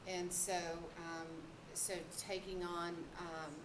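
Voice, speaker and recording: A woman's voice: lowish voice, little pitch movement, slow. A woman on the district staff presenting to a school board, hesitant and stopping often for ums. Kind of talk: presenting at a public board meeting. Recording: echoey, noisy meeting room.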